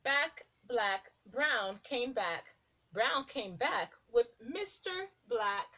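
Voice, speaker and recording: A woman preschool teacher reading a rhyming picture book aloud to young children in a sing-song, deliberate, expressive way. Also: fairly high pitch.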